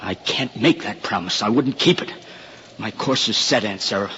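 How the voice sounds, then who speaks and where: An actor in a 1950s radio drama playing a bitter, defiant young rebel, proud and impatient. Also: male voice, lowish voice, moderate pace, bright, forceful tone, breathy edge, full character voice. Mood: grimly defiant. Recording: muffled, noisy old radio recording.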